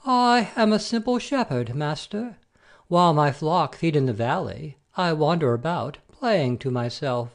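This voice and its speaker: A man playing a wily messenger god posing as a simple shepherd, with an expressive storyteller's delivery in an amateur audio play. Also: a little high, a little slow. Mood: friendly, humble.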